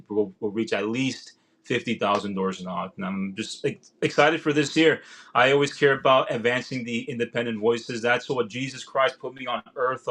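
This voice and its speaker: A young man with a fairly low, loud voice talks over a video call from a room at home. He's earnest and rambling, tripping into restarts and fillers as he goes. It sounds like casual livestream chat. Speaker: political candidate guest. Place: home room with vinyl records.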